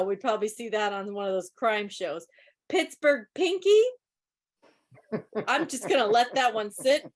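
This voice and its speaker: High-voiced woman hosting casual trivia. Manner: wry, joking asides. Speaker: quiz host. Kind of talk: casual live trivia commentary. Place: online video meeting.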